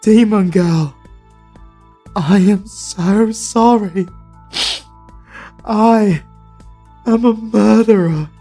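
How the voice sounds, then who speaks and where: British man as a tormented demon hunter, gravelly, very slow and halting, fantasy audio drama. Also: high voice, faint music behind. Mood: anguished. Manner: anguished, halting, breaking into shouts. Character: grief-stricken male demon hunter, tormented. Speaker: voice actor. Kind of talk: fantasy ASMR audio roleplay.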